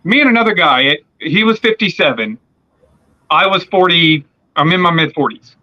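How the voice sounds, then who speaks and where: Man in his forties, bright forceful tone, rambling with fillers, dull lo-fi sound. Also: a little high, expressive, moderate pace. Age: 40s. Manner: rambling with many fillers and restarts. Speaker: podcast host. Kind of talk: casual conversation.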